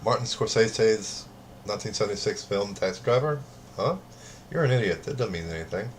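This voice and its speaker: A man reading party game cards aloud in casual chat, with a dry, deadpan delivery. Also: lowish voice, a little fast, a little hiss or hum. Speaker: card game player.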